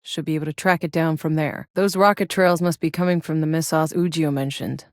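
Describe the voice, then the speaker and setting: Tough female sci-fi game soldier, lower-pitched, wry, blunt, naturalistic, close dry studio sound. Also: fairly even intonation, fairly quick, dry recording. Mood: focused, businesslike. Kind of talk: video game voice lines, naturalistic acting.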